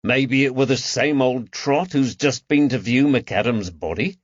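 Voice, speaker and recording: A man's voice: mid-range voice, measured pace, no echo, voice pushed up, close to an everyday voice. Guy doing a blunt copper, wry and dry, muffled dead-sounding recording. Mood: earnest.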